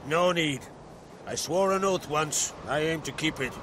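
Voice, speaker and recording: An actor playing an older island king and father in a video game cutscene, speaking in a steady, measured, paternal way. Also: a little high, noisy background, lightly acted. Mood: calm, paternal. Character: older jarl, father of two grown children. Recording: game audio with music behind.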